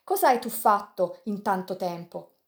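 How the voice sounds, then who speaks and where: A woman reading an audiobook, voicing a loyal, excitable male pirate friend who speaks in a raised, pleading tone. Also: moderate pace, close to an everyday voice. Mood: anxious. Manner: excited, pleading, raised and higher than the narration.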